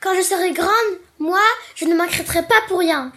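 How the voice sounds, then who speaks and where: A woman's voice: very high-pitched, fairly even intonation, normal speed, voice pushed up. A woman voicing a chatty, earnest little girl who grumbles about her mother.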